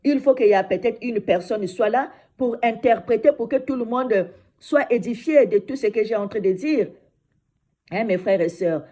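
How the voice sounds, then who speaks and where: Woman preacher, second-language speaker, higher pitch, mellow voice, informal sermon. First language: another mother tongue. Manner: repeats "mes frères et sœurs" and "hein" often; slows down for scripture reading.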